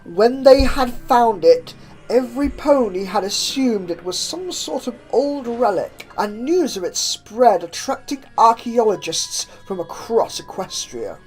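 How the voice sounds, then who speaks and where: A woman reading a horror story aloud as a narrator, with deliberate, theatrical emphasis. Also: a little high, big pitch swings, husky, soft background music, low-quality recording. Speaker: narrator reading fan fiction.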